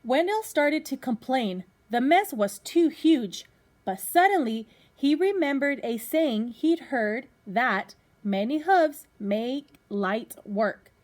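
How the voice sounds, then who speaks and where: Higher-voiced woman reading a picture book aloud. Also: lively intonation. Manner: pauses to put questions to young listeners. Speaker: teacher reading to pupils.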